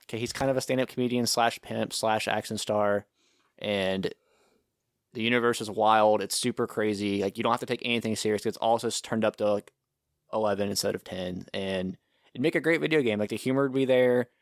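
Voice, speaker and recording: A man's voice: fairly low pitch, a little fast, no room sound, clean studio sound. A man co-hosting a casual podcast chat, rambling and enthusiastic, swearing casually. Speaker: podcast co-host.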